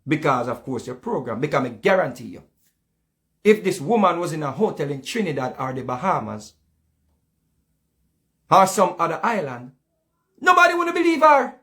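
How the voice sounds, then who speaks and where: A man's voice: a little high, sing-song, normal speed, pauses often, pushed and bright. A man with a Jamaican accent giving casual, heated commentary in patois, often repeating himself. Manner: heated, repetitive, speaks in patois.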